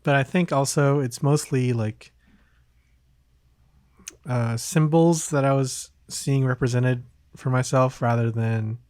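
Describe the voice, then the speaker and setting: A man's voice: mid-range voice, fairly even intonation, slow pace, a little mellow, no room sound, clean studio sound. A man chatting casually as a podcast host, hesitant and rambling as he thinks aloud. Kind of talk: casual chat.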